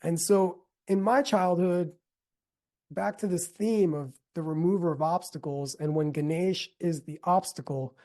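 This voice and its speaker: A middle-aged American man giving a reflective talk as a teacher, earnest and deliberate, repeating phrases for emphasis. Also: fairly high pitch, dark tone. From United States. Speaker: yoga teacher and speaker. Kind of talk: reflective spoken talk with personal storytelling.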